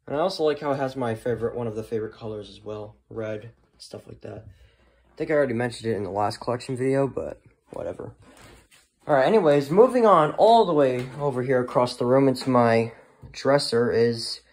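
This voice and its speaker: A man giving a casual, unscripted show-and-tell as a hobbyist presenter, halting and often trailing off, recorded indoors at home. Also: mid-range voice, animated, a little mellow. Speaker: hobbyist presenter of a weather radio collection.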